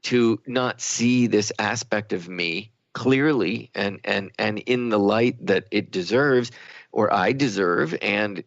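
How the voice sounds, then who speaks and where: Low, gravelly male radio co-host. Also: slow pace, no room sound. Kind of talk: reflective conversational radio talk. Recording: slightly muffled, lo-fi radio audio.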